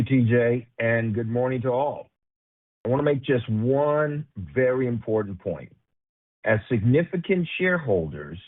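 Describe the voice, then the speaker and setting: A male company executive giving deliberate, formal prepared remarks on an earnings call. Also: medium pitch, breathy edge. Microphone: conference call line. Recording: tinny narrow-band phone line.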